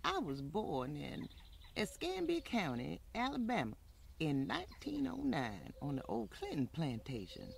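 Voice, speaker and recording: Radio drama role: actress as old Southern housekeeper, plainspoken and reflective, gravelly creak, wide melodic swings in pitch. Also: female voice, huge pitch swings, measured pace, slight background noise, heavily acted. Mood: reminiscing, wry. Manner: folksy, reflective storytelling.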